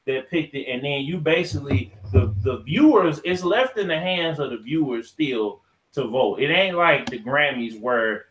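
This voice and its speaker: An American man co-hosting a casual podcast debate, talking in slang, often halting and repeating himself. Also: medium pitch, lively intonation, a bit bright, roomy sound, rough recording. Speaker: podcast co-host.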